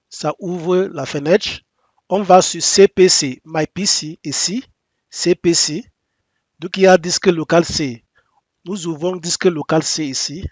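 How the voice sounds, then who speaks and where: A man with a fairly high voice, recorded dry with no room sound, guides listeners through an installation. French is not his first language, so he speaks haltingly, restarts his phrases and repeats each instruction step by step. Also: no room sound. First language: English. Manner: halting non-native French with frequent restarts. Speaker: software tutorial presenter. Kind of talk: screen-recorded software tutorial.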